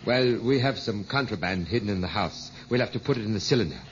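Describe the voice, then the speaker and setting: A resolute young rebel son in an old radio drama. He speaks earnestly and gives orders decisively. The broadcast is dull with clear background noise. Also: on the low side, expressive, quick pace. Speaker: radio actor. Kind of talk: 1950s radio drama acting. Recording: muffled, noisy vintage radio broadcast.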